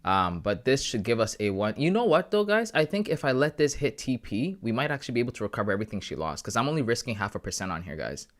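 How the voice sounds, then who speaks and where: A man's voice: medium pitch, very animated, fast talker, few pauses. A man in his thirties chatting casually with viewers on a live trading stream, slangy and laughing often. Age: 30s. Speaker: livestreaming forex trader.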